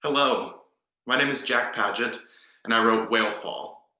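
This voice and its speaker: A man's voice: medium pitch, measured pace, pushed and bright. A young man, a playwright, giving a spoken introduction to his play. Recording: dull, echoey room sound.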